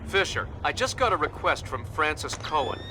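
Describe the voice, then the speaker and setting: An actor playing a brisk, businesslike mission operations officer in a video game, briefing in a crisp, informal manner. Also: male voice, a little high, very animated, noisy background.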